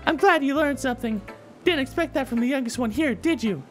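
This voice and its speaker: A woman's voice: sits high, a little fast, natural voice. A woman voicing a bratty, smug, cutesy young schoolgirl in a visual novel, blunt and defensive. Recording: game music under the voice.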